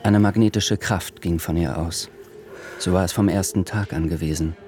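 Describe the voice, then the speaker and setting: Deep-voiced male radio drama narrator. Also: steady tone, normal speed, a little mellow, faint music behind.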